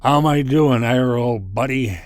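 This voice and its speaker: A man's voice: mid-range voice, moderate pace, lightly acted. An actor playing a jolly, hard-drinking spaceship communications man in a folksy, chummy 1950s science fiction radio drama style. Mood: drunken, jolly. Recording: noisy vintage 1950s radio recording.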